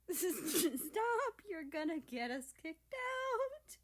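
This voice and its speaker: A woman voicing a playful, cocky young female character in a story, pouty and teasing in an animated way. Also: very high voice, extremely slow, voice pushed up, exaggerated voice. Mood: giggly protest. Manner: giggly, squealing, teasing.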